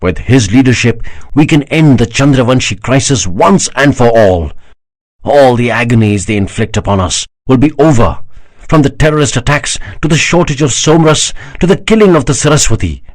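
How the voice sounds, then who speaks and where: A man's voice: medium pitch, expressive, moderate pace, voice pushed up, lightly acted. A man doing a fervent regal emperor. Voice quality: solemn, passionate, rising to fervor. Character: proud emperor, older man, fervent believer.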